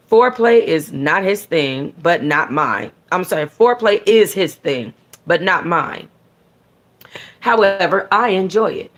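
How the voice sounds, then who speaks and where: Lower-pitched woman reading a novel aloud. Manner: informal, stumbles and corrects herself. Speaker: book club host reading a novel aloud. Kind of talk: animated first-person audiobook-style reading with casual asides.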